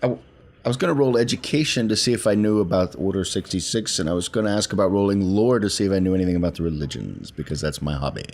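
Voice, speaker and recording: Deep-voiced man playing a tabletop game. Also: lively intonation, fast, gravelly, darkish tone. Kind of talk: casual game-table chat.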